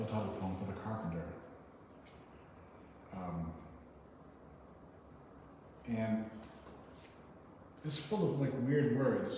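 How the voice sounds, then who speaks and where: A man giving a live poetry reading, with informal, halting, self-deprecating commentary between poems. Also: fairly low pitch. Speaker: reader at a poetry event. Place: echoey hall at a live event. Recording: noisy, echoey hall, distant mic.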